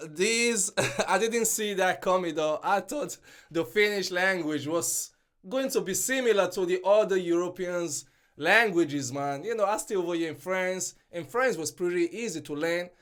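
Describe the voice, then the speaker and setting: A young man hosting a reaction video, talking in loose, slangy, animated bursts and laughing between lines. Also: high voice, unhurried. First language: English. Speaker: reaction channel host. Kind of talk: casual reaction commentary.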